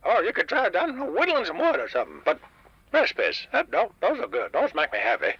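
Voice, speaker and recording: A man playing a crotchety but warm-hearted old diner owner with a grumpy, folksy way of talking, in a post-apocalyptic comedy radio drama. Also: high-pitched, huge pitch swings, brisk, breathy edge, dull audio, full character voice. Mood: cantankerous. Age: older. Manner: grumpy, folksy, hesitant phrasing. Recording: roomy, band-limited radio sound.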